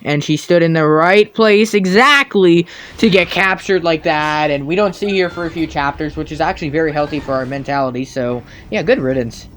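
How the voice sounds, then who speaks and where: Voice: medium pitch, moderate pace, hardly any pauses, a bit bright, slight background noise. A commentator giving blunt, mocking, sarcastic critique in a video voice-over.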